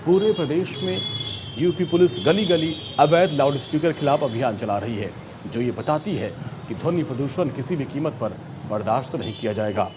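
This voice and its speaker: A man's voice: medium pitch, moderate pace, background noise. A man reading a scripted news report as an anchor, with emphatic delivery.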